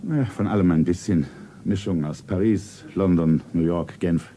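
A wealthy, worldly suitor, acted by a man in a vintage radio romance. His voice is deep and velvety dark, with a suave, earnest, courtly manner. There is faint noise on the recording. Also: normal speed, natural voice. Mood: courtly, solemn. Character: rich worldly suitor, shy and romantically solemn. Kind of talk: 1970s German radio play acting. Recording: noisy 1970s radio play recording.

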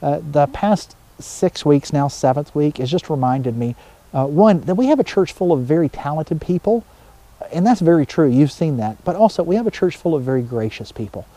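A middle-aged man preaching informally outdoors. His voice is very soft-edged and mellow, set a little high, and he pauses mid-thought as he chats to his congregation. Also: lively intonation, dry recording, rough recording. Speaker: pastor. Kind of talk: informal sermon to camera. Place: outdoors in a wooded backyard.

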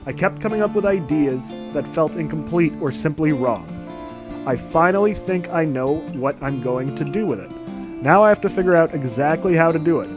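A man's voice: on the high side, lively intonation, moderate pace, music in the background. A man giving a sarcastic commentary as a video essay host, dry and mocking.

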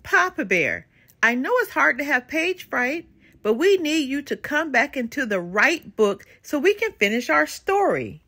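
A woman's voice: sits high, very animated, unhurried, sharp tone, pitched above the performer's own voice, full character voice. A woman voicing a small, polite, earnest boy bear cub in a children's storybook. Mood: coaxing. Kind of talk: children's storybook read-aloud.

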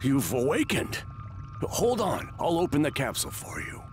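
A man voicing a gruff, gravelly alien soldier in an anime game. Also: on the high side, huge pitch swings, moderate pace. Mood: relieved. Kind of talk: anime game dramatic acting. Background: music.

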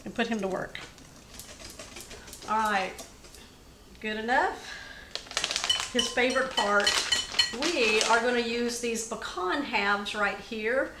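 A woman's voice: on the high side, slow, pauses often, very noisy. A woman hosting a home cooking demonstration in her kitchen, chatty and upbeat, talking to viewers as y'all. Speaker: cooking show host. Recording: echoey kitchen.

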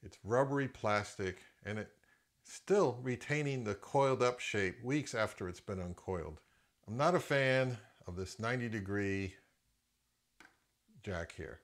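A man's voice: lowish voice, measured pace. A middle-aged man giving a casual, opinionated product review as an audio gear reviewer, in a conversational way.

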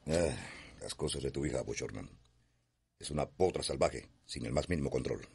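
An actor playing a stern, domineering plantation owner and father in a radio soap, with a raspy, gravelly voice. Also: extremely low pitch, expressive, moderate pace, darkish tone, exaggerated voice. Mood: indignant. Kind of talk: radio soap opera acting.

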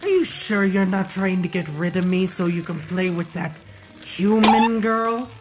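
A woman's voice: medium pitch, animated, a little slow, loud music behind the voice, close to an everyday voice. A woman voicing a suspicious, whining hunchbacked servant in a cartoon dub. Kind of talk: cartoon fan dub acting.

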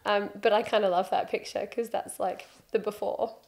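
A woman's voice: medium pitch, steady tone, moderate pace, creaky, a crowd in the background. A British woman in her thirties, a podcast guest chatting on a studio sofa and telling her story with self-mocking humor, close to a desk mic. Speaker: podcast guest, freediving instructor. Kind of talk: relaxed interview chat, storytelling. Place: studio sofa with plain wall. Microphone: headphones and desk microphone.

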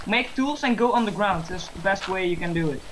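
A man gives gaming tips in halting, broken English, full of fillers. His voice is high for a man. Faint noise and mouse clicks sit behind him. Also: a bit bright, rough recording. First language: Dutch. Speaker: co-host gamer. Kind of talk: casual gameplay chat.

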